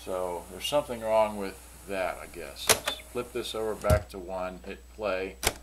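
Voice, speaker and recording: A man's voice: low voice, normal speed. A man giving a casual, unscripted hands-on demonstration as a product reviewer, rambling and muttering to himself as he works. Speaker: product reviewer and seller demonstrating vintage electronics. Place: cluttered indoor workbench. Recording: noisy room recording with clicking sounds.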